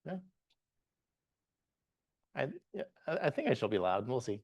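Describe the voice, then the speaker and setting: Relaxed man presenting with lots of fillers. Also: mid-range voice. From Bahamas. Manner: relaxed, halting, many "uh" fillers and restarts. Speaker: guest presenter, software engineer. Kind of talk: live tech meetup talk with slides.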